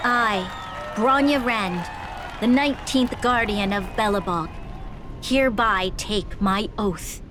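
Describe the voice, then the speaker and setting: A woman playing a noble, earnest young leader in a video game, speaking in a formal, measured and solemn way. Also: medium pitch, a loud crowd, very noisy, lightly acted. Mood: solemn, resolute. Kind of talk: dramatic video game acting, oratory.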